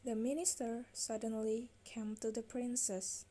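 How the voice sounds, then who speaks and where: A woman's voice: fairly high pitch, flat delivery, a little slow, music in the background. A woman narrating a scripted children's story.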